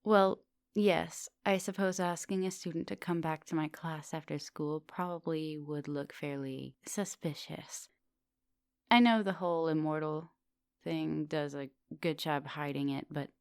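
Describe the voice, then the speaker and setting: A woman playing a coaxing, soft-dominant vampire professor in an intimate ASMR roleplay, speaking with polite, formal phrasing. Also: deep voice, gravelly, clean tone, no echo, studio quality. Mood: confiding, coaxing. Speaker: teacher.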